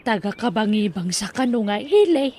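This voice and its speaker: A woman's voice: mid-range voice, sing-song, normal speed, a little mellow. An actress playing a chatty woman swapping village news in an Ilocano radio drama. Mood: curious.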